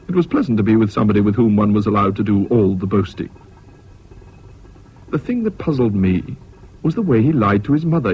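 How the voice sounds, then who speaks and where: An actor playing an educated English gentleman narrating his memories in a radio drama, measured, candid and wry. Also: lowish voice, slight background noise, close to an everyday voice. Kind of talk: scripted first-person radio drama narration. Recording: dull old radio recording.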